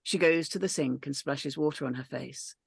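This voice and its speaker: Gravelly, dry-voiced woman narrator. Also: rumbling low, moderate pace, no echo. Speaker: narrator reading stage directions. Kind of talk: script read-through narration.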